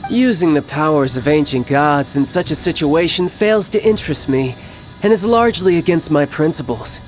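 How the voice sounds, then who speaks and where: An actor playing a cocky, battle-hungry young villain in a video game cutscene, speaking in a measured, smug and theatrical way with formal phrasing. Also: male voice, on the high side, soft background music, lightly acted, rough recording. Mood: scheming, menacing.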